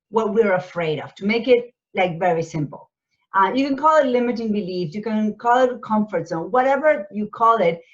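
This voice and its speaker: Argentine-born woman, dull lo-fi sound. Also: medium pitch. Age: 40s. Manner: explanatory, frequent rhetorical tags like "right?". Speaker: panelist, business coach. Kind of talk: conversational interview answers.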